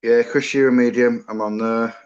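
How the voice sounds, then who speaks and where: A man's voice: mid-range voice, fairly even intonation, moderate pace, a little mellow, smooth. A cheerful British man co-hosting a live chat show, laughing easily. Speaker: medium, co-host. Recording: dull lo-fi video call audio.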